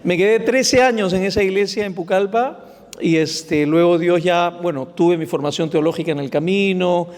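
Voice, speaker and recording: A man's voice: high voice, normal speed, a little mellow. A man, speaking as a church planter on a panel, telling his story earnestly. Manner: earnest storytelling, acts out prayers. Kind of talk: panel testimony. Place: large hall, panel on stage. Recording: reverberant hall.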